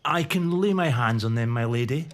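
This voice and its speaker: A man's voice: mid-range voice, lively intonation, normal speed, lightly acted. Man playing a polite castle servant. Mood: dutiful. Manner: formal, polite. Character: deferential male household servant.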